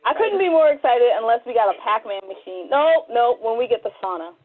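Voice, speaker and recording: A woman's voice: fairly high pitch, animated, fairly quick, crowd noise. A woman co-hosting a podcast with casual, wry, joking banter. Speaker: podcast co-host. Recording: muffled, band-limited lo-fi audio.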